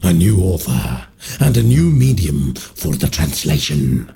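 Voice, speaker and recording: An ancient evil ruler of a realm of endless terror, as a male narrator puts it on speaks low and forcefully in a cold, commanding tone. The voice is slow and slightly husky, and each word lands as an order. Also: very animated, exaggerated voice.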